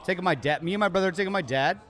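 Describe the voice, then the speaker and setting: A man's voice: high voice, over music. A man co-hosting a podcast recorded live at a bar, talking in a casual, rambling way with many restarts. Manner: rambling, halting restarts, upbeat. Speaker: podcast co-host. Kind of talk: casual chat.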